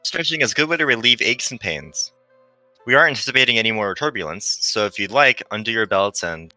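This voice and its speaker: Man plays eager, literal android woman, ship navigator in a visual novel. Very bright, crisp-edged tone, lowish pitch, music behind. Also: animated, fairly quick, no echo, rough recording. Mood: helpful, chipper. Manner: formal, literal, hesitant. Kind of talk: visual novel read aloud. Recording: dry close mic, background music.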